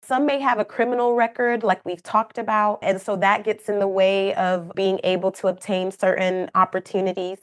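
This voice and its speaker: A woman's voice: medium pitch, level tone, moderate pace, few pauses. Kenyan woman explaining earnestly. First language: not English. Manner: earnest, explanatory, with light laughs and asides. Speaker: program manager, guest expert. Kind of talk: podcast interview answer.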